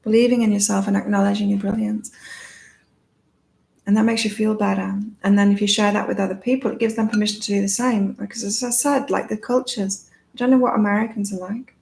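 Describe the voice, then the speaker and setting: A woman with a calming, soothing voice and a gravelly fry at the edges of her words. She hosts a live psychic card-reading chat, musing in a rambling, reflective way full of fillers, at a middle pitch. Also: a little fast. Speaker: psychic reader and live-stream host. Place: in Thailand.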